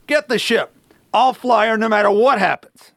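Man playing a gravelly, hot-tempered pilot. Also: high voice, moderate pace, a bit bright, dry recording, clean studio sound. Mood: defiant. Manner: blunt, grumbling, melodramatic. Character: gruff, stubborn, hot-tempered male pilot.

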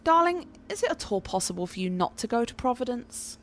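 A woman's voice: fairly high pitch, big pitch swings, a little fast. An actress playing an intense, troubled, genteel young lady in a horror radio play, speaking in a theatrical and formal way. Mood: anxious, subdued. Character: intense, troubled genteel girlfriend haunted by nightmares. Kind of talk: dramatic radio play acting. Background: music.

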